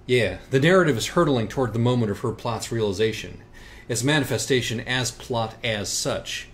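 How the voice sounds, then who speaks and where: A man's voice: medium pitch, normal speed, a little hiss or hum, lightly acted. A man playing an anguished, self-absorbed young writer in audiobook dialogue, wordy and sardonic, circling through evasive self-questioning. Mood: resigned, analytical.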